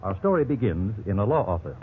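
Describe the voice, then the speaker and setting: A man announcing and narrating gravely and theatrically in 1950s radio style. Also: on the low side, big pitch swings, fast, slight background noise. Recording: narrow-band old radio broadcast. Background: loud music.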